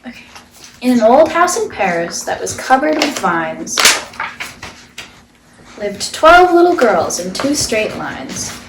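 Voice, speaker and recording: Slow-paced woman reading a children's picture book. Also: on the high side, very animated, roomy sound, distant mic, background noise. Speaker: storyteller, host of a children's read-aloud show.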